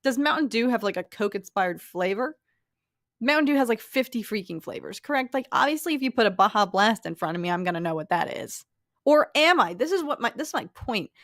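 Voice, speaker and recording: A woman's voice: on the high side, expressive, a little fast, a bit bright, dry recording, studio quality. A young woman from Philadelphia with a light Philly accent, chatting casually and giggling as she reads comments on a live stream. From Philadelphia, USA. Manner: chatty, giggly, lots of "like" and restarts.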